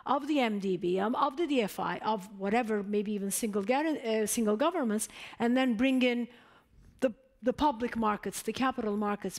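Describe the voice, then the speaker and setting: A woman moderating a panel in a conversational, engaged way, building points through questions. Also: on the high side.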